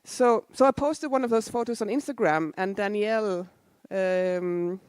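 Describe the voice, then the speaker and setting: A woman's voice: mid-range voice, unhurried, darkish tone, clean tone, no room sound. A woman in her forties, originally from Denmark, giving a casual, self-deprecating conference talk with asides to the audience. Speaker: conference speaker. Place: conference hall.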